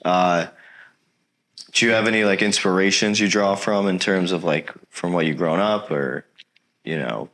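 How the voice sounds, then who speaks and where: A man's voice: sits low, normal speed, a bit bright. A young man hosting a casual band interview in a studio, loose and joking, on a lapel mic. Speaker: interview host. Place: rehearsal studio set.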